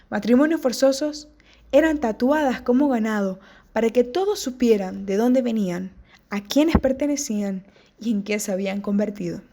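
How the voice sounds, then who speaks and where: A woman narrates from a script in a steady, measured voice. Her tone is soft and mellow, and it sits a little high.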